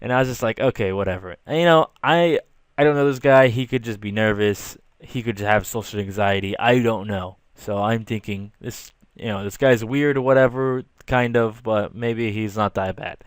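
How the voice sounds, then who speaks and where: Young adult male storyteller, casual and slangy, smooth clear voice, dry recording. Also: on the low side, normal speed, no room sound. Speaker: storytelling video creator. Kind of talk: casual storytelling over rough drawings.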